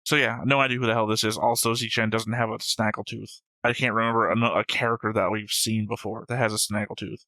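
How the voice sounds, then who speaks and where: A man's voice: lowish voice, level tone, quick pace, few pauses, pushed and bright, no echo. A man hosting a reaction show, talking casually and argumentatively with frequent swearing. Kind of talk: casual discussion, reacting to an animated series.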